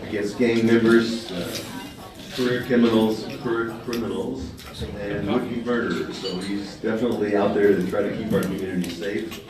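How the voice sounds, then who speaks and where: A man's voice: on the low side, steady tone, normal speed. A man hosting a club meeting, reading out a speaker's introduction with small stumbles. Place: dining hall during a meal. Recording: echoey hall, mic far off, dishes clinking.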